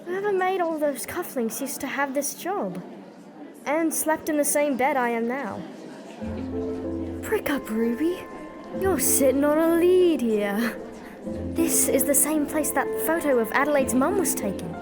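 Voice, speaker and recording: High-voiced plucky workhouse girl. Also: expressive, measured pace, music in the background. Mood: curious, determined. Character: plucky young girl in a workhouse, curious and defiant. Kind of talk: audio drama acting.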